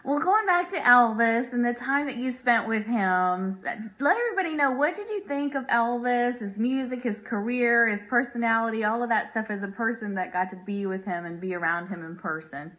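A woman's voice: a little high, moderate pace, hardly any pauses, fairly bright tone. A woman hosting a casual, unscripted radio interview. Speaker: radio show host.

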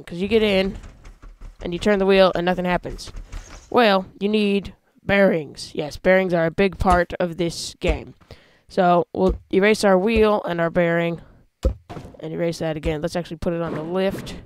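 A man hosting a casual gameplay video, talking through how he builds a vehicle, with excited yelps when he drives it. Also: high-pitched, normal speed, clean tone. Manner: chatty, explains while building, excited yelps when driving. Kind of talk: casual let's-play commentary.

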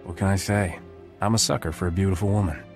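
An actor playing a cocky, flirtatious starship captain in a video game, wry and self-assured with a slightly husky voice. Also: male voice, sits low, steady tone, fast talker, darkish tone, faint music behind. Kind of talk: video game dialogue acting.

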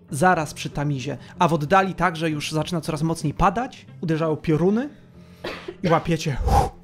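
A game master narrating a tabletop roleplaying game and adding vocal sound effects. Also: medium pitch.

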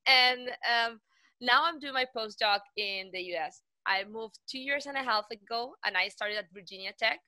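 A woman's voice: a little high, moderate pace, bright, forceful tone, no echo, rough recording. A woman in her thirties from Spain, co-hosting an online panel. She talks with enthusiasm, laughs easily and often restarts her sentences. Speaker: co-host and postdoc. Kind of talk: casual online panel chat. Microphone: video call.

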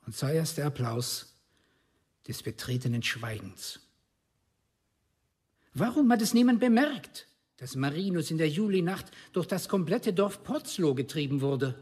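An actor playing a solemn, indignant orator who addresses his community in measured, rhetorical reproach in a documentary radio play. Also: on the high side, very animated, darkish tone, husky, heavily acted. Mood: grave, reproachful.

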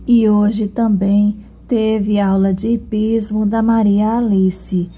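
A woman's voice: medium pitch, level tone, takes it slowly, heavy background noise. A woman reading a scripted narration between video clips.

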